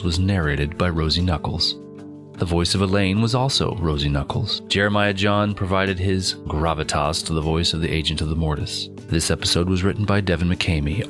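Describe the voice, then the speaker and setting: A man hosting an audio drama, reading a scripted outro and credits. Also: very deep voice. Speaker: podcast host. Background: plucked guitar music.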